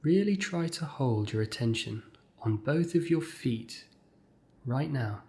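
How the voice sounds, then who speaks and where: A man leading a guided meditation as a scripted exercise, speaking in measured short phrases with gentle pauses. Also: mid-range voice, lively intonation.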